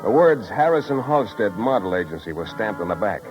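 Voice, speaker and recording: An actor playing a wry, impatient private detective in a 1950s radio drama, clipped and sardonic. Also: male voice, lowish voice, sing-song, brisk. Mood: wry narrating. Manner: clipped, sardonic, world-weary. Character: wry, impatient, hard-boiled red-headed Irish private detective man. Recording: muffled old radio recording, music behind.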